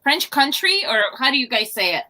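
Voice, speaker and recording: A woman with a high voice chatting on a video call, spinning a story and acting out what people said. A clear hiss runs under the line. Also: sing-song, a little fast. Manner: chatty storyteller, acts out quotes. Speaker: crafter guest. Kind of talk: casual group chat.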